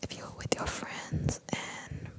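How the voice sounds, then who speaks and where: Young Australian ASMR creator who whispers throughout and repeats each word over and over. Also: unhurried. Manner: whispers throughout, repeating words softly many times.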